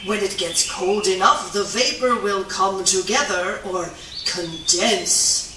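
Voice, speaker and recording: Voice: medium pitch, slow pace, lightly acted. A performer voicing a grandiose, theatrical heron scientist in a children's puppet show, pompously declaiming each step. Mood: grand, pompous. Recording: echoey room, distant mic, noisy.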